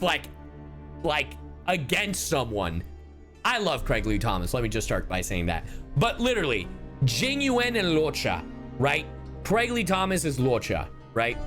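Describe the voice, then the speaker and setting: A man's voice: high voice, sharp tone. A man doing live game reaction commentary, swearing freely and bantering in exclamations. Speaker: streamer and voice actor. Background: game music.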